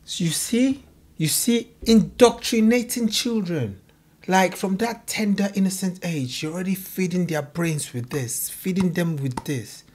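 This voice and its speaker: A woman's voice: fairly low pitch, unhurried, a little mellow, breathy edge. A woman hosting a reaction video, commenting emphatically and repeating phrases for effect.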